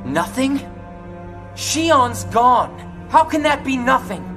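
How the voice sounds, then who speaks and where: Earnest, slow-spoken teenage boy. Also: extremely high pitch, very animated, music in the background, heavily acted. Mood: confused, upset. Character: earnest, quiet teenage boy, naive and loyal. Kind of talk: video game cutscene acting.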